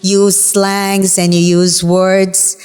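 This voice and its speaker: A woman from Bahrain whose first language is Farsi, speaking as an interview guest in a reflective, halting way with many fillers. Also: medium pitch, level tone, a little mellow, smooth, big-room sound, soft background music. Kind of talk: conversational interview.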